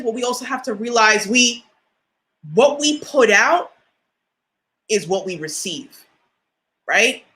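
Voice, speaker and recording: A woman with a deep voice delivers a motivational talk in a casual, conversational way. Her delivery is bright and forceful, and her pitch swings widely as she leans on key words. She often repeats a phrase for emphasis and checks in with her listeners. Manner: conversational, often asks "you know what I mean?". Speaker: motivational speaker.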